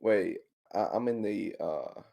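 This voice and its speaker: A man's voice: lowish voice, slow pace, gravelly, warm tone. A man playing a tabletop game, chatting casually and a bit hesitantly. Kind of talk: casual chat.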